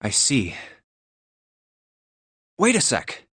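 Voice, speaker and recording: Game lead: an exasperated, sardonic young man, measured pace, lively intonation. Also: medium pitch, husky, dry recording, heavily acted. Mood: thoughtful. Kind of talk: English video game voice acting.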